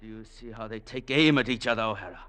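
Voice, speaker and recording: An actor playing a proud, ruthless Chinese warlord general with imperious, formal speech, in vintage radio drama style. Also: male voice, fairly low pitch, a bit bright, slight background noise, close to an everyday voice. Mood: defiant, commanding. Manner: imperious, grandly formal, deliberate. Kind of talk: 1940s-50s radio drama acting. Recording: vintage radio broadcast recording.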